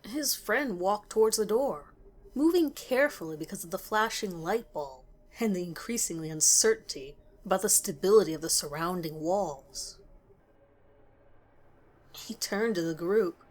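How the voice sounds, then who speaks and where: A woman reading a scripted scary story as narrator, in a measured, dramatic way with pauses. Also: mid-range voice, lively intonation.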